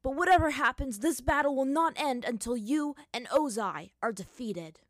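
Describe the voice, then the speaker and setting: A woman's voice: sits high, moderate pace, no echo, studio quality, voice pushed up, natural voice. A woman voicing a brave young boy hero who answers his enemy in a raised, earnest voice. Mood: defiant.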